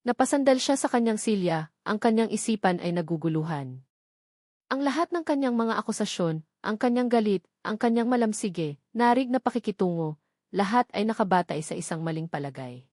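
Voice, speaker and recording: A woman's voice: medium pitch, lively intonation, brisk, darkish tone, dry recording, clean studio sound. A woman reading a scripted romantic drama audiobook as its narrator.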